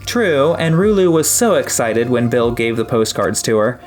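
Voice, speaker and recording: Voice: mid-range voice, big pitch swings, moderate pace, a little mellow, lightly acted. A narrator voicing an upbeat, joking father in his twenties in an audiobook. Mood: chatty. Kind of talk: audiobook character dialogue. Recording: music behind the voice.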